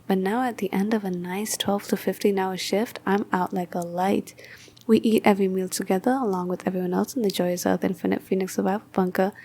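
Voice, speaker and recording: A woman's voice: mid-range voice, a little mellow, lightly acted. A woman playing a cheerfully devoted mother in a bunker commune, giving an earnest, chatty testimonial in an audio comedy.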